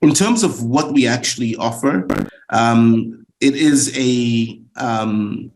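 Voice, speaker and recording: A man's voice: mid-range voice, slow, darkish tone, rough recording. A middle-aged man from Zimbabwe giving a webinar talk, with many fillers and repeated words. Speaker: guest speaker for a student lender. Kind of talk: webinar presentation.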